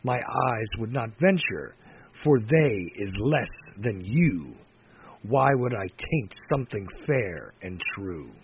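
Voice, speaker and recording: A man reciting poetry dramatically, in a solemn, measured manner that moves between hushed phrase endings and emphatic phrases. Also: fairly low pitch, sing-song. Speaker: poetry reader. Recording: dull lo-fi recording with faint noise.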